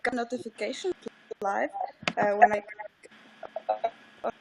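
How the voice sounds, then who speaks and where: Halting woman with an Estonian accent. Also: a little high, steady tone, gravelly, background noise. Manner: halting, many fillers and restarts in English. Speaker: livestream guest. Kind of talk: casual video call chat. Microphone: video call.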